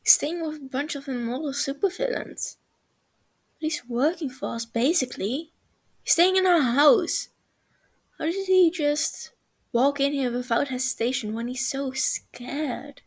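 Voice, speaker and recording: Slow, gravelly woman reading a story. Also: high-pitched. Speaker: story reader. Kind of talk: reading fan fiction aloud.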